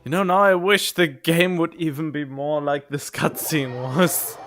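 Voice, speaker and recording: Slow-talking male game streamer. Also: fairly high pitch, steady tone, a bit bright, soft background music. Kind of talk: casual gameplay commentary.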